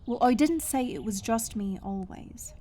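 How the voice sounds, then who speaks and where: A woman playing a rugged, wry forest hunter in a fantasy audio roleplay, speaking in a dry, sardonic manner with hesitant pauses. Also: on the high side, lively intonation, mellow. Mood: guarded, subdued.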